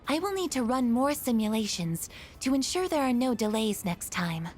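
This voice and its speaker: A woman voicing a curious, earnest female android in a video game, speaking precisely and formally with gentle wonder. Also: on the high side, big pitch swings, moderate pace, faint music behind. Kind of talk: English video game dub acting.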